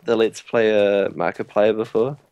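A laid-back young man with a New Zealand accent jokes his way through a game session. His voice is low and gravelly. It is recorded dry and close. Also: steady tone, a little slow, darkish tone, dry recording, dull audio. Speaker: gamer, co-host. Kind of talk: casual let's play chat.

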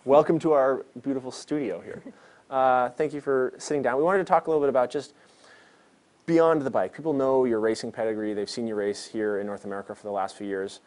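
Quick-talking male interview host. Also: medium pitch, steady tone, darkish tone. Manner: friendly, chatty questioning. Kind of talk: interview questions. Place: small studio, indoors.

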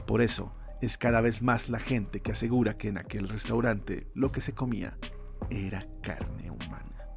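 Male horror narrator, gravelly, mid-pitched, slow ominous read over faint music.

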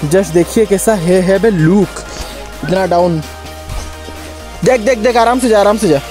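High-pitched young man vlogging outdoors. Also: a little slow, music in the background. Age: young adult. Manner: mixes English phrases into his talk, repeats warnings. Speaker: travel vlogger. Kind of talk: casual vlog commentary. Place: outdoors, steep forest trail. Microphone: handheld phone at arm's length.

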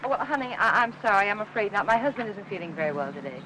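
An actress playing a gentle, anxious housewife in a 1950s Hollywood film, polite and hesitant, with an American accent. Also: female voice, medium pitch, animated, very fast, background noise, natural voice. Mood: kindly. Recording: old film soundtrack with music.

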